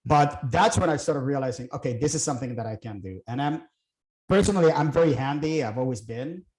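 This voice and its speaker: A middle-aged man from Puerto Rico speaks in a relaxed, mellow voice, as a guest in a casual chat. He thinks aloud, pausing on fillers and restarting his sentences. The room around him adds a noticeable echo. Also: medium pitch. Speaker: guest, real estate investor.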